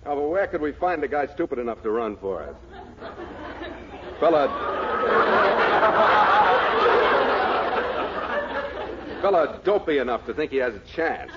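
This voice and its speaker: A brash, scheming political boss played by a man from 1940s radio comedy. He orders people about in a lively voice. The recording is dull and thick with noise. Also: medium pitch, fairly quick, a loud crowd, lightly acted. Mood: dismissive. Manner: brash, bossy.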